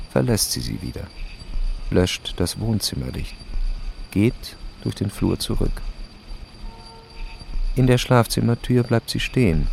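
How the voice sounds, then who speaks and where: A man's voice: fairly low pitch, gravelly, a little mellow, a little hiss or hum. A man narrating a radio play in terse, matter-of-fact fragments.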